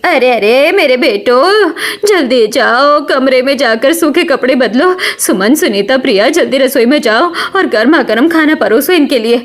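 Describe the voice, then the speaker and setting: A woman's voice: high voice, animated, normal speed. A woman voicing a fussing, bossy village mother-in-law with grown sons in a cartoon story. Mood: fussing, urgent. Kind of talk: Hindi cartoon story dialogue.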